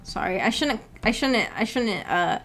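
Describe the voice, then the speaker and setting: A woman's voice: medium pitch, expressive, unhurried, a bit bright, soft background music. A woman hosting a casual livestream, reading out and answering viewer comments in a giggly, hesitant, self-effacing way. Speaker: livestream host.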